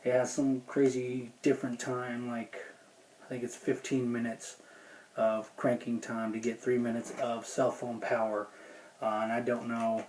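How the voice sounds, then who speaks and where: Flat-toned man reviewing gear, noisy recording. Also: mid-range voice, measured pace. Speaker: gear review video host. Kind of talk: casual unscripted product review.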